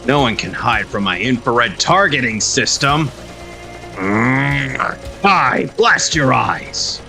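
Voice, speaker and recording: A man's voice: medium pitch, lively intonation, slow, gravelly, bright, forceful tone, exaggerated voice. A man playing a vicious, panicky space pirate in a comic drama, snarling melodramatically over music. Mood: furious, panicked. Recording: music under the voice.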